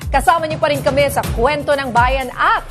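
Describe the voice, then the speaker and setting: A Filipino woman presents as a news anchor. Her voice is high, and she delivers a scripted opening. Music plays clearly underneath. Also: fairly quick.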